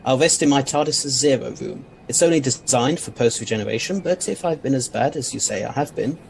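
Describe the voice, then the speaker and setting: A man playing a proud, eccentric alien scientist and time traveler in an audio drama, gravelly and formally courteous. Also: medium pitch, fairly quick, darkish tone, a little hiss or hum, natural voice. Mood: proud, stubborn.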